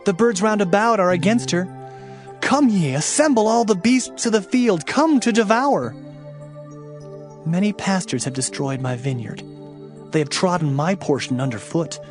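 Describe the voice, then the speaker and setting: Man as Jeremiah, a higher-voiced, solemn prophet. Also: lively intonation. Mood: grieved, indignant, then mournful warning. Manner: earnest, declamatory, deliberate. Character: Jeremiah, solemn grieving male prophet declaring doom. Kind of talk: dramatised scripture reading. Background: music.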